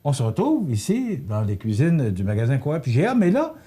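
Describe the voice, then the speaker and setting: A man's voice: on the high side, fairly quick, slightly rough. A man with a Québécois French accent hosting a local TV morning show, reading birthdays and interviewing a guest in a store kitchen. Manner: lively, stumbles over names and jokes about it.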